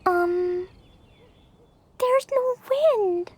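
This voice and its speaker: A woman's voice: very high voice, extremely slow, a little mellow, full character voice. A woman voicing an innocent, curious and eager little girl in a video game. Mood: puzzled, disappointed. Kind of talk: English game voice acting.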